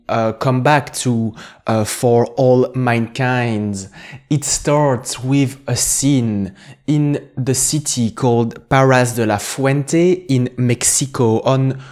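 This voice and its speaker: A man hosting an interview, asking formal questions with many hesitant "uh" and "um" pauses. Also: mid-range voice, a little mellow. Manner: halting, many "uh" and "um" fillers, formal phrasing. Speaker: host.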